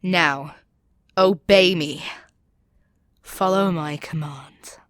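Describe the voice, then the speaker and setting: A woman's voice: lowish voice, extremely slow, a bit bright, no echo. A woman voicing an arrogant, seductive demon queen in an ASMR audio roleplay, speaking in an imperious, coaxing way that can drop toward a whisper. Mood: commanding, threatening. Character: arrogant, seductive demon queen probing a captive hero's mind.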